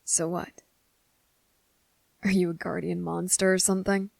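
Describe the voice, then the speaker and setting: A woman voicing a guarded, defiant young boy with deliberate, halting, serious delivery in an ASMR roleplay audio drama. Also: fairly low pitch, level tone, vocal fry, darkish tone, no echo, heavily acted. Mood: puzzled, guarded.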